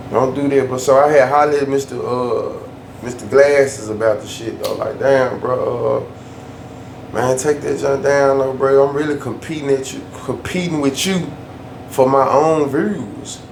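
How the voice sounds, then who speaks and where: A man's voice: mid-range voice, fairly even intonation, a little slow, vocal fry. A man ranting casually in a loose, slangy way, often repeating himself for emphasis. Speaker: YouTube storyteller. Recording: echoey room, faint background hum.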